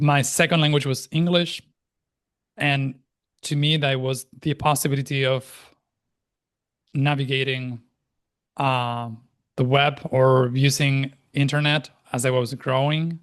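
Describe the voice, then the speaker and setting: A man in his thirties from Argentina, a native Spanish speaker, talking reflectively as an interview guest with frequent restarts and pauses. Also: medium pitch, flat delivery, clear, resonant tone. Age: 30s. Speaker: interview guest, life and language coach. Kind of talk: casual interview conversation.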